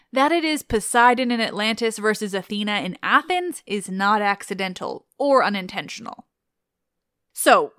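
A woman's voice: mid-range voice, bright, forceful tone, dry recording, studio quality. A woman hosting a podcast, commenting on mythology casually with wry, sarcastic asides. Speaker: podcast host.

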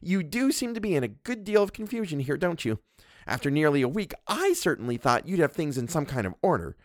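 A man's voice: on the high side, sing-song, brisk, dry recording, clean studio sound, voice pushed up. A man reading a story and voicing an imperious, fussy middle-aged woman who scolds and condescends. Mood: haughty scolding.

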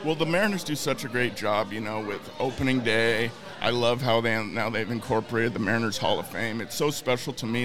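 A man co-hosting a podcast, asking questions eagerly as a fan. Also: fairly low pitch. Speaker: podcast co-host. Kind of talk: casual interview chat.

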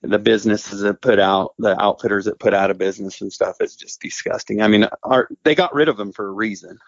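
A man's voice: lowish voice, fairly quick, gravelly, no echo. A man who works as a hunting guide, talking bluntly in a casual interview, full of "I mean" and "you know". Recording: dry, muffled podcast audio.

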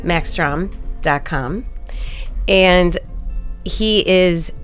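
A woman's voice: fairly low pitch, very slow, soft background music. A woman hosting a podcast as a life coach, friendly and encouraging as she asks her guest questions.

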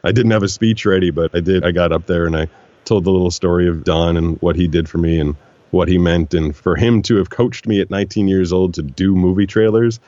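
A man with a very low, deep voice tells stories in an animated, relaxed way, slipping into other voices when he quotes people. The room is dry and close. The sound is a little dull and lo-fi. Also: a little fast, no room sound. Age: 40s. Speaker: guest, voiceover actor. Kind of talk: relaxed storytelling conversation.

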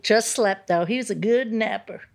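A woman comedian with a loud voice, trading crude, brash jokes and cackling in casual banter. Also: mid-range voice, moderate pace. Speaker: comedian guest.

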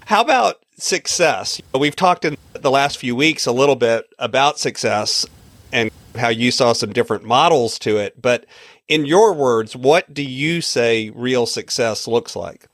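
A man's voice: medium pitch, lively intonation, measured pace, fairly bright tone, dry recording. A man hosting a podcast interview, asking questions in an easygoing way with light laughs. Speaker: podcast host.

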